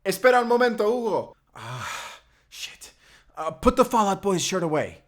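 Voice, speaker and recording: A man's voice: high voice, sing-song, normal speed. A man playing a young, sheltered and earnest vampire slayer, in a cinematic audio roleplay, speaking in an intimate, conversational way. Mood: flustered.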